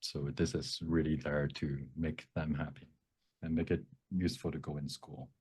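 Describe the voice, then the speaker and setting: Man, very low pitch, dark tone, workshop presenter, halting explanations, trailing phrase endings. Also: little pitch movement, breathy edge. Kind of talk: live software workshop teaching with Q&A.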